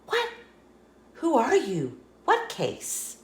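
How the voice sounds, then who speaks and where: A woman's voice: high-pitched, huge pitch swings, extremely slow, echoey room, voice pushed up, exaggerated voice. A woman voicing a small, lonely but hopeful storybook squirrel in a childlike, plaintive way. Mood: puzzled.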